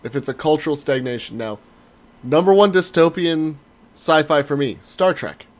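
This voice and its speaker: A man's voice: fairly high pitch, lively intonation, moderate pace. A man co-hosting a casual podcast, giving his opinions in a blunt, emphatic way. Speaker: podcast co-host.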